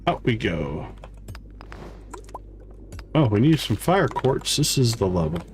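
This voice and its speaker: A man's voice: mid-range voice, very animated, takes it slowly, a little hiss or hum. A man hosting a livestream with casual, playful gameplay commentary, half talking to himself. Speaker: livestream host.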